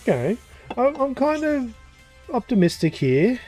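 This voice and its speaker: A man casually commenting as he plays through a game, thinking aloud with pauses and fillers. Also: very high-pitched, very animated, a little mellow, faint music behind. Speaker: gaming YouTuber. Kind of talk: casual let's-play commentary.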